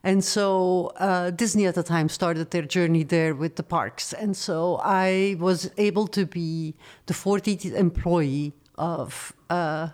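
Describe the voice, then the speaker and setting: Middle-aged woman, low voice. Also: darkish tone. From Belgium. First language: not English. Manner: measured, reflective storytelling. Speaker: guest, former studio executive. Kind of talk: interview conversation.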